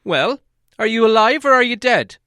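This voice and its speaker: A man's voice: very high-pitched, lively intonation, moderate pace, bright, forceful tone, smooth, dry recording, clean studio sound, pitched above the performer's own voice, full character voice. Man voicing a cross hobbit, raised and emphatic. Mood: cross, exasperated. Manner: emphatic, exasperated scolding. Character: cross, impatient male hobbit scolding a companion.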